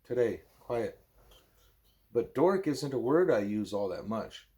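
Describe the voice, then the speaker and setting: A man, a parent, reading a book aloud expressively as a bedtime story, with a wry, deadpan manner. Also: medium pitch, takes it slowly.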